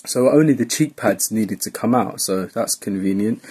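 A man's voice: fairly low pitch, moderate pace, darkish tone, slight background noise. A man talking informally through a hands-on how-to tutorial, thinking aloud as he works. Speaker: vlogger giving a how-to tutorial. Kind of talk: casual hands-on tutorial narration. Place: indoors at a wooden table in a home room.

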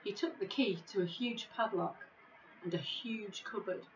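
A middle-aged woman reading a children's storybook in a measured, expressive way, recorded on a device microphone in an office. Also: mid-range voice, lo-fi sound, slight background noise. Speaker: school staff member reading a bedtime story. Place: small office, indoors.

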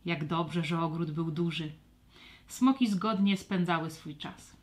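A woman narrating a scripted children's audio story with expressive, storybook delivery. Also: fairly low pitch, a little slow.

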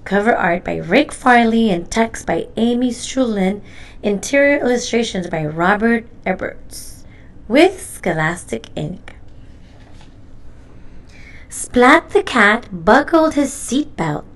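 Woman, children's storybook narration, very slow pace, higher pitch, expressive delivery. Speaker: storybook reader. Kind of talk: scripted children's read-aloud narration.

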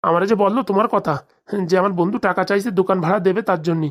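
A cartoon actor plays an anxious father near retirement with a rural Bengali accent. He talks extremely fast, rambling on in an aggrieved, lamenting way, like a man forever complaining in a village comedy. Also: medium pitch, close to an everyday voice. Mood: alarmed. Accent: Bengali, rural dialect. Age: middle-aged. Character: anxious, aggrieved father nearing retirement, fretting over lost money.

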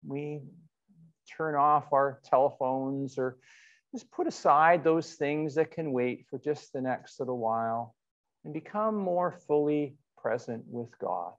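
Guy, middle aged church minister, informal halting delivery, mid pitch, sound a little muffled. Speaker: pastor. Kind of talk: informal church announcements and worship leading.

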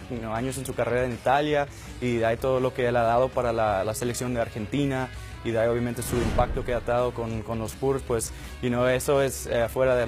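A man, a basketball coach, answering a live remote interview via satellite, sprinkling English fillers and restarting often. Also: mid-range voice. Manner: code-switching, many fillers and restarts. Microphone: satellite link.

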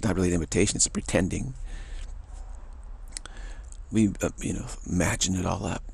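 A man with a deep voice giving a rambling spiritual monologue, often repeating words and chuckling. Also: steady tone, fairly quick, gravelly, a little mellow, breathy edge.

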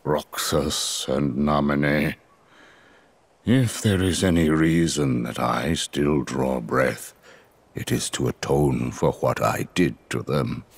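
An actor playing a grave, remorseful older scientist in a video game, solemn and deliberate, with a husky voice. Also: male voice, dry recording, exaggerated voice. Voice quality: husky, very deep. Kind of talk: dramatic video game cutscene acting.

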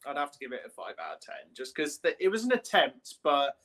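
Young man, high voice, casual halting chat, podcast co-host, video call. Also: wildly swooping pitch, fairly bright tone. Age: young adult. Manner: halting, peppers speech with "like". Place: indoor room, video call.

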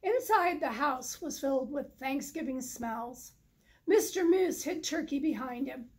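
A middle-aged woman narrates a picture book for children. Her voice is high and she takes her time over each line. She is recorded indoors, a few feet from the camera. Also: animated, unhurried, darkish tone. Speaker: storybook reader. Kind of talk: children's story read aloud, scripted narration. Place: indoor library, in front of bookshelves.

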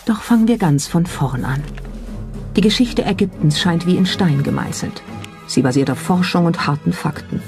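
A woman's voice: on the low side, normal speed. A woman reading scripted documentary narration with a dramatic, rhetorical delivery. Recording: music bed under the voice. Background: background music.

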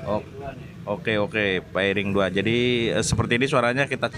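A man's voice: lowish voice, normal speed. A man hosting a casual product test, speaking in a hesitant, repetitive way. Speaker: vlog host. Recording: clearly noisy, vehicle ambience.

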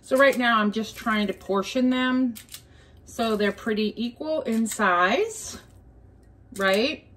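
A woman's voice: a little high, slow pace, a bit bright. A woman giving a casual cook-along demonstration in her home kitchen, chattily talking viewers through each step. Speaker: home cooking vlogger. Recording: clear kitchen noise, clinking dishes.

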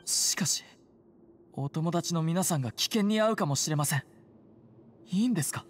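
A woman's voice: fairly low pitch, animated, normal speed, slight background noise, lightly acted. A woman voicing an earnest, timid phantom hunter in a Japanese game, hesitant, polite and formally apologetic. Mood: hesitant, flustered. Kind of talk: Japanese anime game voice acting. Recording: music behind the voice. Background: game music.

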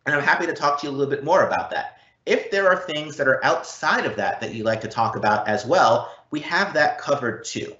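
Man, consultant presenter, explanatory, conversational check-ins, mid pitch, echoey room, muffled online audio. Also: sing-song, brisk, bright, forceful tone. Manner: explanatory, checks in with "right?" and "okay?". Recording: roomy, slightly dull online event audio.

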